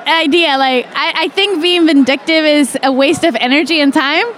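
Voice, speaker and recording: A woman's voice: high-pitched, normal speed, fairly bright tone. A woman in her thirties, chatting as a guest on a talk show and laughing often in a frank, playful way. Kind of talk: casual chat.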